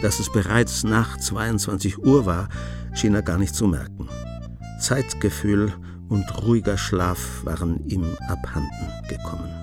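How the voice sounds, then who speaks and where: A male narrator telling a radio drama in a calm, measured storytelling manner. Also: on the low side, music in the background.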